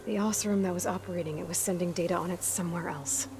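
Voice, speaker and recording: A woman's voice: fairly low pitch, steady tone, quick pace, background noise, natural voice. An actress playing a determined, guarded young female explorer in a video game, speaking in a matter-of-fact, focused way. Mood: focused, musing. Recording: game music behind the voice.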